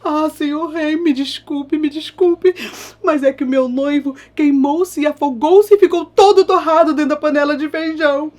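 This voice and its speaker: A woman voicing a dramatic female cockroach bride who sobs and wails in high cries. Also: a little mellow. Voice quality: wailing, sobbing high cries.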